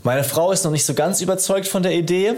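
A man's voice: high voice, fast, a little mellow. A man in his thirties talking casually as a podcast host, explaining his thoughts in a reflective, conversational way. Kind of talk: casual chat between two dads.

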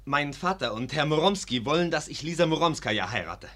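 A man's voice: on the high side, lively intonation, brisk, pushed and bright. An actor playing an earnest, romantic young nobleman in a German radio play. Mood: earnest, defiant. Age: young adult. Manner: earnest, fervent.

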